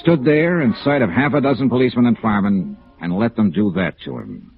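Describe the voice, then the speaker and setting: An actor with an American accent playing a tough, brisk insurance investigator in 1950s radio noir, clipped and emphatic. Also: male voice, medium pitch, animated, soft background music, lightly acted. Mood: hard-boiled, reflective. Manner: clipped, hard-boiled, emphatic. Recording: narrow-band vintage radio recording.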